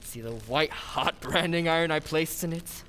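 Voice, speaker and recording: A man's voice: a little high, moderate pace, a little hiss or hum. An actor playing a mild-mannered man who turns into a cackling sadistic killer, in an old-time radio style. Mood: menacing. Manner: mild and hesitant, then gleeful and shouting. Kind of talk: 1940s radio acting.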